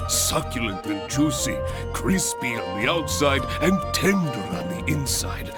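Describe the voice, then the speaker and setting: An actor playing a hearty, grandiose adventurers' guild examiner in a video game, speaking in formal, exuberant flourishes. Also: male voice, mid-range voice, very animated, a little slow, loud music behind the voice. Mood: pleased, hearty. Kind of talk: English video game voice acting.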